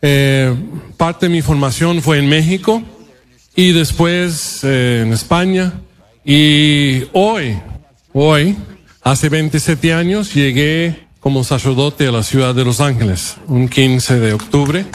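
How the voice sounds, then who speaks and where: A middle-aged male priest from the American Midwest, whose first language is English, with a somewhat high voice. He tells his story to a congregation deliberately, in short phrases that he sometimes repeats. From United States, Midwest. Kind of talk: personal testimony to a congregation.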